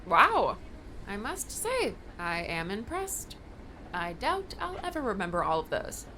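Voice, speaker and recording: Man putting on a lively, bright young woman. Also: sits high, a little slow, a little hiss or hum, voice pushed up, heavily acted, low-quality recording. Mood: impressed. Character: curious, teasing young woman companion.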